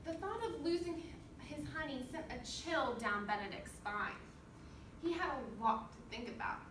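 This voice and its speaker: A woman's voice: high-pitched, animated, moderate pace. A woman teaching through an interactive storybook read-aloud, encouraging and often putting questions to her young listeners. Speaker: student teacher reading aloud to a class. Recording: roomy, distant microphone, clear background noise.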